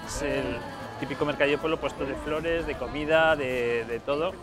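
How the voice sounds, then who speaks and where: A man's voice: medium pitch, steady tone, moderate pace, over music. A man from Spain with a Spanish accent, giving a casual, explanatory guided tour outdoors as a featured guest. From Spain, Aragon. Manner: conversational, explanatory. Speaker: featured guest showing the area. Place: outdoors, airfield and village streets.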